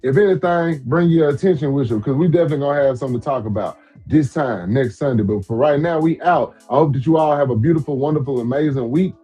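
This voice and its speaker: A man's voice: on the high side, fast, mellow, breathy edge, soft background music. A man in his forties co-hosting a podcast, talking loosely and emphatically in slang with plenty of repeats. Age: 40s. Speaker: podcast co-host. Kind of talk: casual sports chat.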